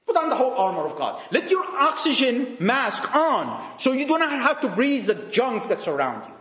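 A man's voice: sits high, a bit bright, roomy sound. A man preaching a sermon to young people, emphatic and full of rhetorical questions, often repeating phrases and restarting sentences.

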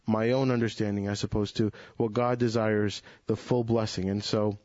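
A man's voice: lowish voice, little pitch movement, normal speed, a little mellow, dry recording. A man offers an earnest, reflective comment to a Bible study group and keeps restarting his sentences as he thinks. The recording is dry and slightly dull, with little room sound and a muffled, lo-fi tone.

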